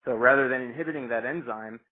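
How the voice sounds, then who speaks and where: A man giving an informal technical explanation as a products specialist, halting and repeating words as he goes. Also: mid-range voice. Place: conference call. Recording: muffled, band-limited conference call line.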